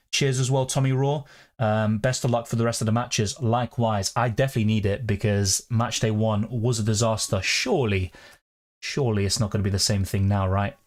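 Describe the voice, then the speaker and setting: A man's voice: on the low side, a little mellow. A young man chatting casually on a live stream, rambling and reading out chat messages. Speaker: fantasy football streamer.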